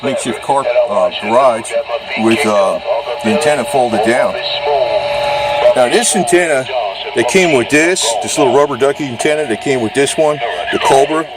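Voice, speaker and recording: A man talks through a hands-on gadget demo in a loose, informal, mildly profane ramble. His voice sits at an average pitch, and the recording is very noisy, with radio chatter and vehicle sound behind him. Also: moderate pace. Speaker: amateur product demonstrator. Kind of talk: casual unscripted gadget demonstration. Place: under a makeshift garage or carport. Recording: loud vehicle and radio background noise.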